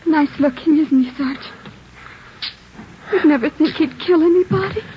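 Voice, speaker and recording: An actress playing a killer's weary, devoted wife in a vintage radio crime drama. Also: female voice, sits high, moderate pace, lightly acted. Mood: anguished, bitter. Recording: muffled, noisy old radio broadcast.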